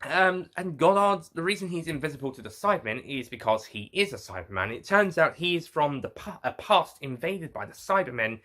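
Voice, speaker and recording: A man giving an informal spoken review to viewers, rambling and haltingly correcting himself as he goes. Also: on the high side, sing-song, pushed and bright. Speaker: reviewer and host.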